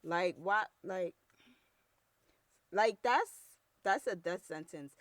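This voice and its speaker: A young woman from New York with a deep, rough voice and a New York accent, hosting her own show with blunt, slangy, heated talk. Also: takes it slowly, no room sound. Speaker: podcast host. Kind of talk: casual solo commentary.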